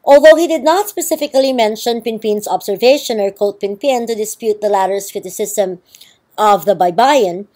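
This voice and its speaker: A woman's voice: medium pitch, normal speed, a little mellow. A woman with a Filipino accent giving a university lecture, explaining with frequent ums and checking okays. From Philippines.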